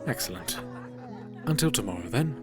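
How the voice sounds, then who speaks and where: A man playing a formal, insistent, mysterious gentleman in his forties in an audio drama, with polished, courtly speech. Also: medium pitch, lively intonation, normal speed, close to an everyday voice. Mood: earnest. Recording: prominent music bed behind the voice.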